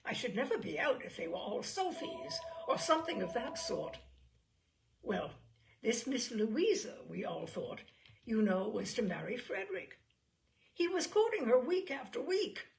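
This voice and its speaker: A woman's voice: a little high, very animated, measured pace, gravelly, a little hiss or hum, exaggerated voice. A woman voicing a bluff, hearty, chatty older male admiral in an audiobook, blustery and rambling with emphatic delivery. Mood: puzzled, gossipy. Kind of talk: audiobook character dialogue.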